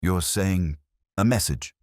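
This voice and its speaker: A man's voice: low voice, slow pace, no room sound, clean studio sound. A man voicing an eager, curious police sidekick in a mystery story, inquisitive and confiding. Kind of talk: audio mystery story dialogue.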